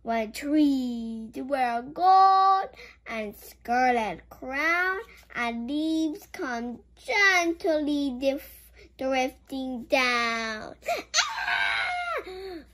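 Childlike storybook reader, very high voice, slow and halting, animated swoops, playful exclaiming. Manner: childlike, exclaiming with gasps and giggles. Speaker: children's show host. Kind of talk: picture-book read-aloud with playful commentary.

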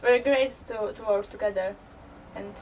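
A young woman with a Polish accent, searching haltingly for English words in a casual interview. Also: mid-range voice. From Poland. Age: young adult. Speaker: artist and gallery co-runner being interviewed. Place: inside a small old caravan. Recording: slightly distant mic, faint ambient noise.

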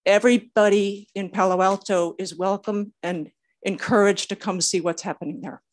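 A woman's voice: medium pitch, fairly even intonation, moderate pace. Older woman reading a prepared public comment. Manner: nervous. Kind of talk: prepared speech read aloud at a public meeting.

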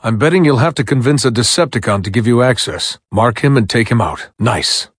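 Wry military commander, dry recording. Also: male voice, medium pitch, expressive, fairly quick, slightly rough, no room sound. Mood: dry, businesslike. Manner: dry sarcasm, conversational orders. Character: wry male military commander guiding a soldier. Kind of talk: video game mission dialogue.

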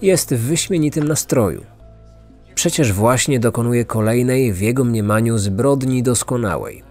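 A man's voice: mid-range voice, moderate pace, a little mellow. Man narrating true crime over music. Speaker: podcast narrator.

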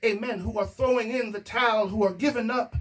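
A man's voice: high voice, steady tone, brisk, a bit bright, breathy edge. A middle-aged male pastor preaching a sermon into a stand microphone in a small room, building emphasis and dropping in "amen" as he goes. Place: small indoor room against a plain wall. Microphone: stand microphone in front of mouth.

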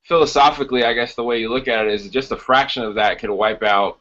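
A man's voice: medium pitch, steady tone, fast talker, a bit bright. A man in his thirties co-hosting a casual podcast from home, explaining things informally over a video call. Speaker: podcast co-host. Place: small room at home. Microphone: video call on computer. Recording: dull, lo-fi video call audio.

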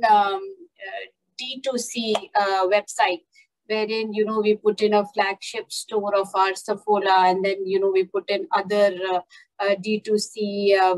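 A woman in her thirties with an Indian English accent speaks on a panel over a webcam. Her voice sits at a middle pitch and she talks fluently, often saying "you know". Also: rough recording. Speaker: panelist, company IT leader. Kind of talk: video-call panel discussion. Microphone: webcam on a video call.

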